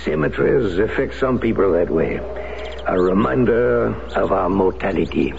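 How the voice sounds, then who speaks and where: An actor playing a grave, guilt-ridden resistance veteran, in a 1970s radio drama. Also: male voice, on the low side, expressive, moderate pace. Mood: solemn. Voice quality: gravelly, solemn. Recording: dull old radio broadcast with hiss.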